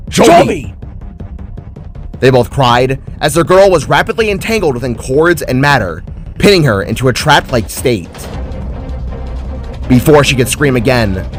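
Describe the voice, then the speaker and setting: A man reading scripted fantasy narration in a dramatic storytelling style. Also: mid-range voice. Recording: music behind the voice. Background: music.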